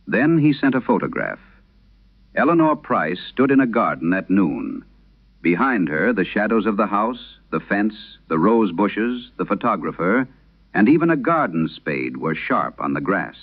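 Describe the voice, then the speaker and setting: Man acting aging exorcist priest, Irish, very low voice, solemn horror radio monologue, haunted, close dry sound, muffled lo-fi. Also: dry recording, exaggerated voice. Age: older. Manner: solemn, measured, confessional storytelling. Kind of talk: dramatic first-person horror radio monologue. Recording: dull lo-fi vintage radio sound.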